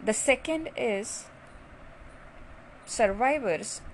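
A woman's voice: on the high side, animated, very slow, slight background noise, poor audio. A middle-aged woman doctor with an Indian English accent hosts a casual podcast, speaking chattily with many filler sounds.

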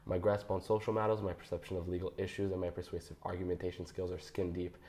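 Young man, very low voice, flat monotone, careful reading of a statement. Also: quick pace. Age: young adult. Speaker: guest. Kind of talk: reading a personal statement aloud.

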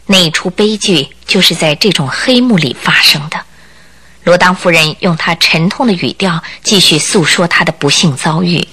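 A woman narrating a Chinese radio drama, telling the story in a measured way with theatrical emphasis. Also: lowish voice, bright, forceful tone, husky. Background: music.

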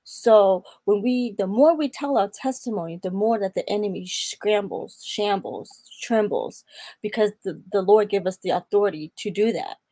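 Young woman with a gravelly, mellow voice. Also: medium pitch, no room sound. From Atlanta, Georgia. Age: young adult. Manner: earnest, preaching tone with scripture quotes. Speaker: guest. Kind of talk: personal testimony in a faith interview.

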